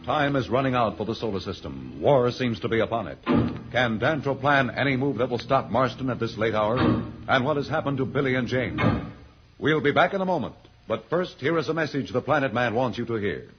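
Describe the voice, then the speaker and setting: A man's voice: mid-range voice, lively intonation, brisk. A man narrating an old-time radio adventure serial as announcer, in a dramatic, declamatory scripted style. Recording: dull old radio transcription with music.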